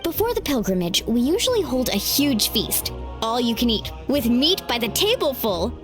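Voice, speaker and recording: A woman's voice: a little high, sing-song, moderate pace, music in the background. A woman voicing an upbeat, impulsive teenage adventurer girl in an anime-style video game, breezy and enthusiastic. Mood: excited, breezy.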